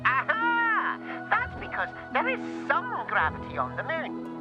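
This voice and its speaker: Ground control scientist acted by a woman: eager explainer, high voice, narrow radio sound, storybook style. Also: wildly swooping pitch, measured pace, loud music behind the voice, heavily acted. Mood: eager, explaining. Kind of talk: children's storybook character voice.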